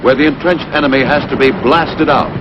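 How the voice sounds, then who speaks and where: A man's voice: medium pitch, fairly quick. A man narrating a wartime training film in an emphatic, punchy 1940s newsreel-announcer style. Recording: dull, hissy 1940s film soundtrack.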